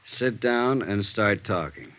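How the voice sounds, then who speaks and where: An American actor playing a tired, exasperated police sergeant in a 1950s radio crime drama, gruff and pressing. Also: male voice, on the low side, slow. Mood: weary, insistent. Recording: dull, noisy vintage radio recording.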